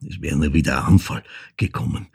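An actor playing an older man who haltingly recalls a troubled childhood in an intimate radio-play monologue, with a husky, gravelly voice. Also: a bass voice, darkish tone, no room sound, clean studio sound, heavily acted. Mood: wistful, subdued. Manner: halting, reflective, repeats words, drops to near whisper.

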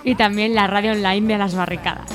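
A woman's voice: mid-range voice, steady tone, brisk. Spanish woman co-hosting radio over music. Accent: Spain Spanish. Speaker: radio show co-host. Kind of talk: casual community radio presenting. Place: radio studio. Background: faint music.

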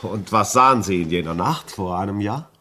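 An actor in a 1950s German radio play voicing a rational, skeptical gentleman, husky and expressive, with a patronizing, questioning manner. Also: lowish voice, normal speed. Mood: curious, probing. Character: rational, skeptical gentleman visitor, dismissive of ghosts.

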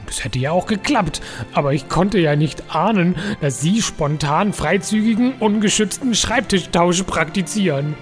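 A man's voice: sits high, expressive, normal speed, hardly any pauses, soft background music. Man acting a fussy, lovesick office schemer. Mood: lovesick confessing. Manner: officious, pleading, melodramatic. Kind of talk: comic audio drama acting.

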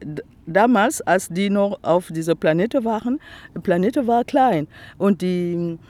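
A woman from the Republic of the Congo with a Congolese accent, whose first language is Teke. She speaks German as an interviewee, searching for words and filling pauses often. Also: medium pitch, dark tone. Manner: halting non-native German, searching for words with many fillers. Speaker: artist, interviewee. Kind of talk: casual interview chat. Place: outdoors in the grass by a lake.

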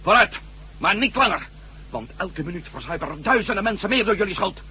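A man's voice: sits high, expressive, a little fast, sharp tone, slightly rough, heavily acted. A man playing a weary, wry, commanding ex-astronaut adventurer in a radio drama, brusque and sardonic. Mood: commanding, impatient.

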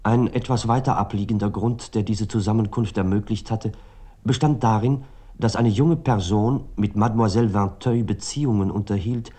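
A man reads literary prose as a narrator, his voice fairly low, mellow and noticeably husky. The delivery is formal and measured, carrying long, composed sentences.

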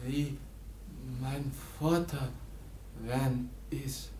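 An actor playing a bewildered teenage foundling in a radio play, who speaks in halting, broken phrases as if learned by rote. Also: male voice, medium pitch, steady tone, warm tone, roomy sound. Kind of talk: German radio play acting.

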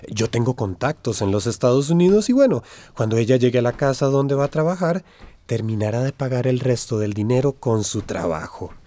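An actor playing a pious, reassuring, deceitful pastor in a radio drama. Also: male voice, medium pitch, lively intonation, brisk, mellow, lightly acted. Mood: reassuring, persuasive. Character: smooth-talking, pious pastor who deceives families.

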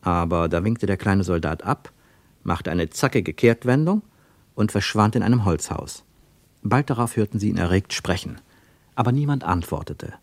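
A man narrating a literary radio play in a measured, deliberate storytelling way. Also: low-pitched, animated, no room sound.

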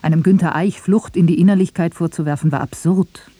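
A woman's voice: on the low side, steady tone, fairly quick, dark tone, slightly rough, no room sound. A woman reading scripted narration for a radio documentary feature.

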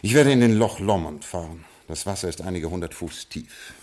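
Ruined, absent-minded lord. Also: male voice, low-pitched, animated, moderate pace, a little mellow. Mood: resigned stately. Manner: stately, absent-minded, dryly fatalistic. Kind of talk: 1950s radio drama acting.

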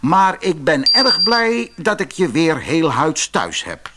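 A man's voice: a little high, big pitch swings, takes it slowly, heavy background noise, voice pushed up. A man voicing a poor, kindly older father in a storybook, plain-spoken and fond. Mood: fond, resigned. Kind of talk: storybook character voice.

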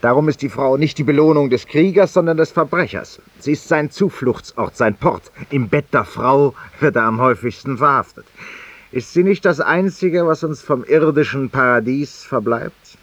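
An actor playing a cynical, self-accusing former lawyer in a 1950s German radio drama monologue, theatrical and ironic, husky, confiding in a listener. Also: male voice, medium pitch, normal speed, natural voice. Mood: wry, resigned. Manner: theatrical, ironic, confiding, dropping to near whispers. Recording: muffled, roomy 1950s radio recording.

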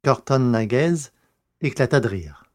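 A man reading scripted narration for a science fiction audio drama in a measured, literary storytelling manner. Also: medium pitch, lively intonation, a little mellow.

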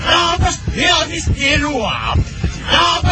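A man playing an excitable, melodramatic superhero squad fighter who declaims in an overwrought way in a parody radio drama. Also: very high voice, unhurried, raised voice, over loud music, exaggerated voice.

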